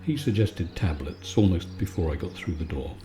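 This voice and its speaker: A man giving a calm, soothing, conversational bedtime chat in a relaxed ASMR style. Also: deep voice, normal speed, over music. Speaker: video maker and host.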